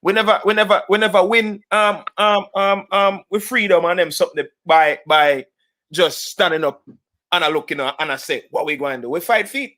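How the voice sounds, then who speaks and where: A man's voice: sits high, fairly quick, pushed and bright. A man with a Jamaican accent, a panel guest giving an impassioned call to action full of rhetorical questions.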